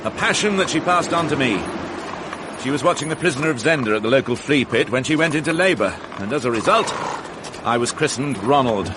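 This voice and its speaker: A man playing a dry, precise police inspector in a radio drama, with a British accent and a formal, measured, ironic way of questioning. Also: medium pitch, lively intonation, close to an everyday voice. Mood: dryly formal. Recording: faint background noise on archive radio broadcast.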